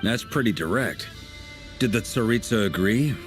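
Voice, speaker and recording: A man's voice: on the low side, animated, moderate pace, faint music behind, natural voice. A man playing an affable, slightly awkward informant in a video game, earnest, modest and a little hesitant. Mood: modest, curious.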